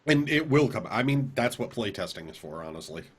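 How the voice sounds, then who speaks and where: A man's voice: medium pitch, sing-song, brisk, husky. A man co-hosting a podcast, casually bantering on a live stream with easygoing, brief interjections.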